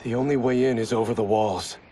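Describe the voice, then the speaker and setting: A man's voice: mid-range voice, fairly even intonation, fairly quick, dark tone, a little hiss or hum, natural voice. An actor playing a loyal young male clan warrior who speaks earnestly and insistently.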